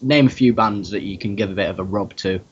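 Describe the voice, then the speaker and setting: A man's voice: lowish voice, fast, slightly rough. A man hosting a podcast, asking questions in a friendly, conversational way. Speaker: podcast host, interviewer. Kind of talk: casual interview. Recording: lo-fi dull sound.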